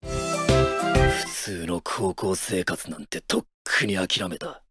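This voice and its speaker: An actor playing a gruff, blunt, hard-bitten young high school baseball player in a game. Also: male voice, takes it slowly. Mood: brooding, determined. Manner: blunt, brooding, rough-worded. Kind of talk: anime-style game voice lines. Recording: loud game music behind the voice.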